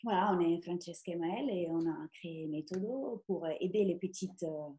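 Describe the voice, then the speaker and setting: A woman's voice: lowish voice, moderate pace, warm tone, roomy sound. A woman with an Italian accent co-presenting a live webinar, speaking with light hesitations and frequent laughs.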